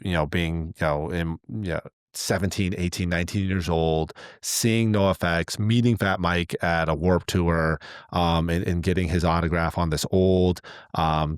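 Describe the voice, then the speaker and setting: A middle-aged man with a very low voice talks as a podcast co-host. He is enthusiastic and loose, circling back over his words. The sound is close and dry. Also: smooth, no room sound. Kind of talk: casual conversational podcast talk.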